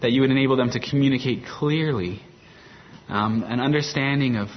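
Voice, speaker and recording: Calm pastor praying. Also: male voice, medium pitch. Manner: calm, measured prayer. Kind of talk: introduction and prayer. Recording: dull sound with faint room noise.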